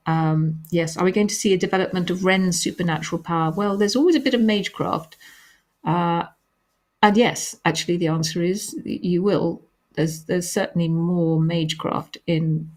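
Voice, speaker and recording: A woman with a calming voice hosting a live question-and-answer stream, reading questions aloud and answering them with gentle hesitations. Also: on the low side. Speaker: author hosting a live reader Q&A.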